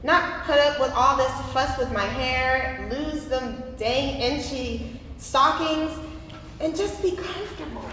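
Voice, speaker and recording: A woman's voice: sits high, slow pace, bright, forceful tone, faint music behind, full character voice. A young woman acting a frustrated, yearning twenty-one-year-old in a staged play reading, recorded in an echoey, noisy hall. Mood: restless, defiant. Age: young adult.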